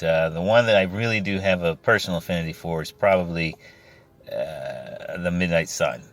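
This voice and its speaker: Middle aged texas guy, really low voice, rambling casual listener message, kinda hesitant. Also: a bit bright. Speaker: podcast listener sending in comments. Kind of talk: casual chat, personal reminiscence.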